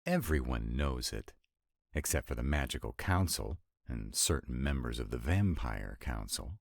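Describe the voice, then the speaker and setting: Man acting a very deep, sardonic vampire lover. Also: lively intonation, normal speed, vocal fry, dry recording, studio quality, exaggerated voice. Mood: exasperated ranting. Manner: sardonic, exasperated, intimate, swooping emphasis. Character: sarcastic, grumbling, affectionate male vampire councilman and lover. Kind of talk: romantic roleplay audio acting.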